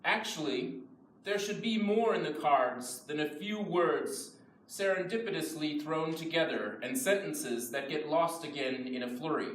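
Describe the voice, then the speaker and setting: A man reads translated poetry to a live gathering. His voice is a little higher than most men's. The room rings with clear echo, and faint noise sits underneath. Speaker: translation reader. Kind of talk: poetry reading. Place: indoor live poetry event.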